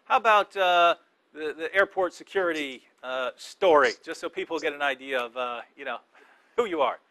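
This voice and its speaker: A man hosting an interview show, teasing his guest and halting often over his words. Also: fairly high pitch, pushed and bright, dry recording. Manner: joking, halting, restarts often. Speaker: host.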